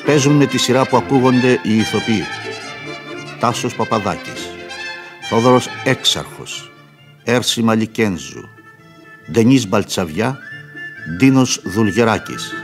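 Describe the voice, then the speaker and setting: A man's voice: medium pitch, animated, long pauses. A man announcing the title and credits of a radio play in a scripted, formal read. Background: loud violin music.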